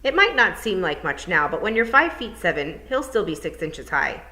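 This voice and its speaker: A woman's voice: lowish voice, moderate pace. A woman reading an audiobook and voicing a dry, sardonic male toad. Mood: deadpan. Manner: dry, deadpan, mildly sardonic.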